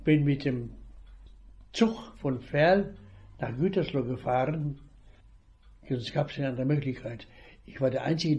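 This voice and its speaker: Elderly man in his eighties, slow and halting. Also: medium pitch, darkish tone, highs cut off. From northwest Germany. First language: Low German. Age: 80s. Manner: slow, halting storytelling with restarts. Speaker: retired veterinarian. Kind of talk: reminiscing about childhood.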